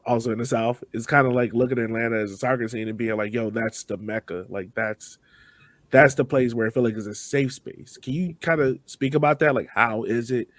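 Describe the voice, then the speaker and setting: A man's voice: medium pitch, moderate pace, no room sound. A man hosting a podcast, asking interview questions in a casual conversational way. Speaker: podcast host.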